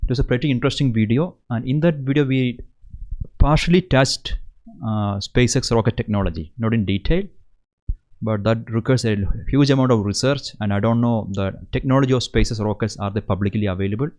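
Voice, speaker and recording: A man's voice: medium pitch, fairly quick, darkish tone. A young man from Kerala with a Malayali Indian English accent, hosting a casual livestream and answering viewer questions in an earnest way, often repeating words as he restarts a sentence. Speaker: host of an engineering channel.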